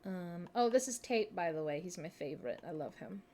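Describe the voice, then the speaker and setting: A woman's voice: on the low side, lively intonation, fairly quick, warm tone. A woman in her twenties with a Midwestern American accent, chatting casually and giggling as she streams a game. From Minnesota, USA. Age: 20s. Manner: chatty, giggly, self-deprecating. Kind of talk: casual live-stream chat while playing.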